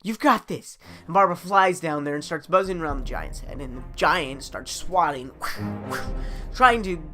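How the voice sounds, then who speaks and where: A man improvising a dramatic adventure story as a theatrical storyteller, swinging from hushed to loud. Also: on the high side, animated, normal speed, music in the background.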